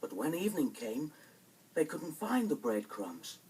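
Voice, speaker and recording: A man narrating a children's bedtime story in a dramatic, expressive scripted style. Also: a little high, unhurried, slightly rough, far from the mic, low-quality recording. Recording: vinyl record with music behind.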